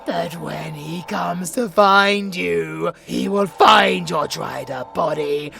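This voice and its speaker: A British man playing a sadistic, gloating monster villain in an audio roleplay, gravelly and theatrical, swinging from hushed taunts to shouts. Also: high-pitched, slow, music in the background, heavily acted. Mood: cruel gloating. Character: sadistic male monster villain, gloating, menacing, hungry for prey.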